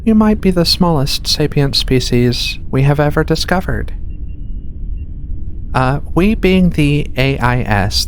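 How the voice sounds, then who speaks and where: An ASMR performer playing a giant alien researcher, soft-spoken, gentle, amused and reassuring in a medical exam roleplay. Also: mid-range voice, close to an everyday voice. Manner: soft-spoken, reassuring, unhurried with hesitant murmurs. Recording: steady ambient noise of ship sounds. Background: ship ambience.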